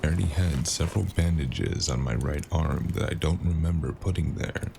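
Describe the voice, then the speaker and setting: A man's voice: extremely low pitch, normal speed, vocal fry, background noise. A man playing a battered, sardonic, world-weary investigator in a cyberpunk noir audio drama. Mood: weary narrating.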